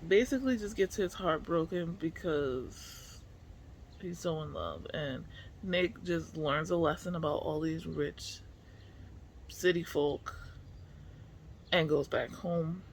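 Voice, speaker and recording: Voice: medium pitch, expressive, slow pace, pauses often. A book vlogger of unclear sex chats casually in an informal, slangy way, musing aloud. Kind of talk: casual reading vlog chat. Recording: clearly noisy room ambience.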